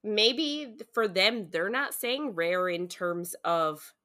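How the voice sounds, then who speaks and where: A woman's voice: medium pitch, animated, slow, bright, forceful tone. A woman co-hosting a podcast in a casual book discussion, speaking in an earnest, informal way and hedging her opinions as she goes.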